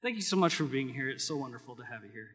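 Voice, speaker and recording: A man's voice: mid-range voice, animated, very fast, a bit bright, breathy edge. A man in the audience asking a question hesitantly, with many fillers. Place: live event hall. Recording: long hall reverb.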